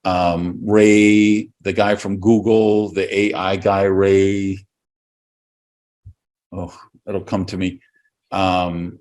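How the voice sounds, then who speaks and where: A man from the American Midwest hosting a podcast, talking reflectively and searching for words. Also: deep voice. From Madison, Wisconsin, USA. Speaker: podcast host. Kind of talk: casual conversation.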